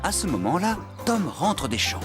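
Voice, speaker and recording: A man's voice: high voice, very animated, normal speed, loud music behind the voice. A man narrating a children's cartoon story from a script, as a storyteller.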